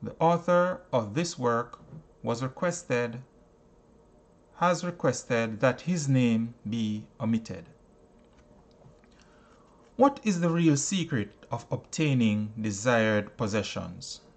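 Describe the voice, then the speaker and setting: A man reading a book aloud as scripted narration, in deliberate phrases broken by pauses. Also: mid-range voice, expressive. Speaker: audiobook reader.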